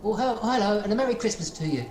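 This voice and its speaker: A woman's voice: mid-range voice, expressive, quick pace, a little mellow, slight background noise, natural voice. A woman playing a meek, downtrodden male clerk in a radio drama, stammering and deferential. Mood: polite cheer. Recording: roomy, echoey sound.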